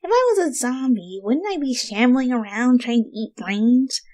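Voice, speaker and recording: A woman's voice: a little high, expressive, moderate pace, a little mellow, voice pushed up. A woman voicing a brash, hungry undead pegasus mare in a dramatic story reading, with a slangy, pushy, wheedling manner. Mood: hungry, musing.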